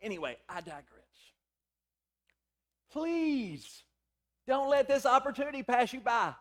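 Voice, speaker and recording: A middle-aged man with a hoarse, raspy voice, preaching a sermon in a conversational, storytelling way with quoted dialogue. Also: extremely high pitch, sing-song, slow pace, fairly bright tone. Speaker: pastor.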